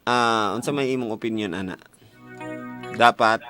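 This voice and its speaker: A man's voice: fairly low pitch, moderate pace. A young Filipino man with a Cebuano accent hosting a casual call-in advice show on radio, bantering and teasing. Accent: Filipino, Cebuano-speaking. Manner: teasing, joking. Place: radio studio. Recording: music bed behind studio voice.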